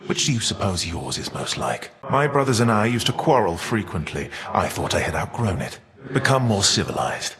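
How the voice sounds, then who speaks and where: Embittered titan rebel, lowish voice, formal measured diction, proud, video game character, long reverb, crowd noise behind. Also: male voice, big pitch swings. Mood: disdainful, measured.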